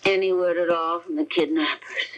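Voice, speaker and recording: A woman's voice: lowish voice, steady tone, moderate pace, dull audio, close to an everyday voice. An actress playing a frail, worried mother in a radio mystery drama. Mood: weary, worried. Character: frail, grieving mother of a kidnapped boy.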